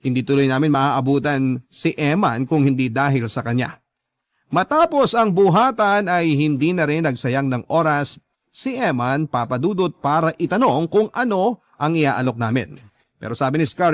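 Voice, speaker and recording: A man's voice: fairly high pitch, expressive, normal speed, no echo, studio quality, close to an everyday voice. A man playing a letter sender, telling a personal story in first person with a Filipino accent for a radio drama. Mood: confiding.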